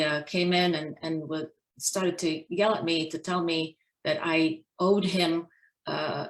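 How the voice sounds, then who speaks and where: A middle-aged woman giving a prepared personal talk as a guest speaker, in a measured, explanatory manner. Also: fairly low pitch, low-quality recording. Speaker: guest speaker, Navy dentist.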